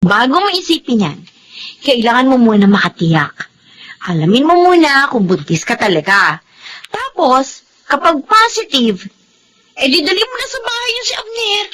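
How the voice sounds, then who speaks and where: Very high, animated Filipino best friend. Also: female voice, moderate pace. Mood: concerned, advising. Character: gossipy, excitable married female best friend, frank. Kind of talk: Filipino radio drama acting.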